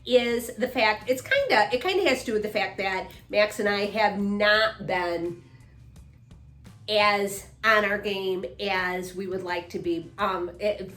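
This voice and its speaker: A woman's voice: mid-range voice, animated, unhurried, fairly bright tone. A woman in her fifties co-hosting a casual chat, rambling with many restarts and fillers. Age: 50s. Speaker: co-host, mother. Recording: echoey room, faint music.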